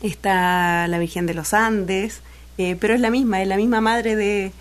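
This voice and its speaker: A woman storyteller explaining legends on a radio show, thoughtful and unhurried. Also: medium pitch, steady tone. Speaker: storyteller guest.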